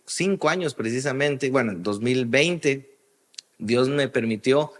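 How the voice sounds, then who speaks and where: A man from Guatemala, an interview guest telling his story in a halting way, often repeating the starts of phrases. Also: mid-range voice. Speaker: guest, music producer and worship singer. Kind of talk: casual interview, personal storytelling.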